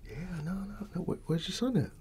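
A middle-aged man doing a casual ASMR barber roleplay, playing an easygoing uncle in a soft, breathy, often whispered voice and pausing for unheard replies. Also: sits high, expressive, a little hiss or hum. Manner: unhurried, familiar and colloquial, with pauses for unheard replies. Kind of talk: ASMR roleplay, casual one-sided chat.

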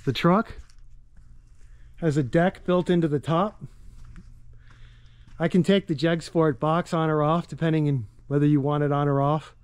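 A man with a slightly high, smooth voice talks in short, matter-of-fact phrases. He is outdoors, speaking into a camera he holds himself. The sound is dry and close. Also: fairly even intonation, a little slow, pauses often, dry recording. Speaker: kayak rental guide. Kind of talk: casual walkaround video. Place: outdoors on a rural property. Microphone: camera held by the speaker.

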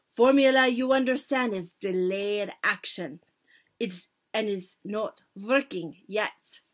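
A woman's voice: medium pitch, takes it slowly, voice pushed down. A woman voicing a commanding, gloating old witch ringleader with broken, foreign-style grammar in a storybook reading. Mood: commanding, explaining. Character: gloating old Grand High Witch, ringleader plotting against children.

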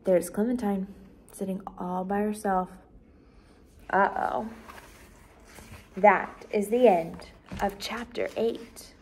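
A woman in her twenties reading a children's book aloud as a teacher, with expressive, clearly paced narration. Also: medium pitch, takes it slowly, long pauses, slight background noise. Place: small decorated indoor room.

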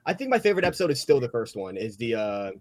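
A man's voice: mid-range voice, big pitch swings, racing, a crowd in the background. A man chatting and joking casually with friends on an online group call. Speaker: member of a comic dub cast.

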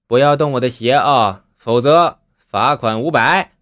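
A man voicing a calm, aloof young monk who speaks in an unhurried way. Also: mid-range voice, no echo, clean studio sound, close to an everyday voice.

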